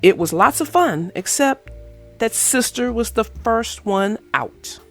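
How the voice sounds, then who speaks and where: A woman reading a children's picture book aloud in an expressive, sing-song storytelling manner. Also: fairly high pitch, slow pace, faint music behind. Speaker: storybook reader. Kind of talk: children's read-aloud narration.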